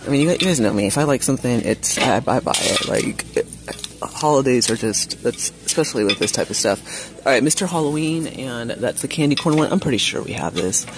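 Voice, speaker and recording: A woman vlogging as she shops in a big home goods store, chatting casually to viewers with frequent gasps and excited exclamations. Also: a bass voice, brisk. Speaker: shopping vlogger. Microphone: phone camera held while shopping.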